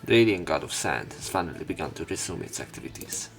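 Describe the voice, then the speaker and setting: A man with a low, creaky voice reads game text aloud as let's play narration. His delivery is dramatic, giving the dialogue acted urgency. Game music runs behind his voice. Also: expressive, fast.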